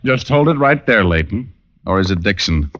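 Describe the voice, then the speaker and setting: Low-voiced, wry hard-boiled private eye. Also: male voice, animated, moderate pace, a bit bright. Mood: tough, cool. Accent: American. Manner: dry, wisecracking. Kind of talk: 1940s-50s radio detective acting, first-person narration. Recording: dull old radio recording with music.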